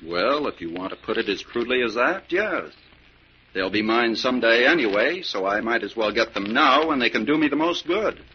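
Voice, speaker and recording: An actor playing a suave, scheming young heir in a 1940s radio drama, with a theatrical, calculating manner. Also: male voice, mid-range voice, animated, normal speed, a little hiss or hum, lightly acted. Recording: muffled old 1940s radio recording.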